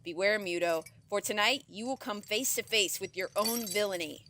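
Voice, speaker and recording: A woman's voice: medium pitch, normal speed, bright, forceful tone, slight background noise, lightly acted. A woman playing a grandiose female ghost in a comedic audio drama, with theatrical delivery. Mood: ominous, accusing. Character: grandiose female ghost of dead business partner.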